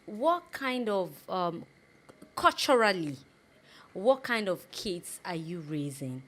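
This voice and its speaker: A woman hosting a talk show, asking slow questions and murmuring frequent agreement. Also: medium pitch, very animated. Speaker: talk show host, interviewer. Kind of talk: casual interview chat.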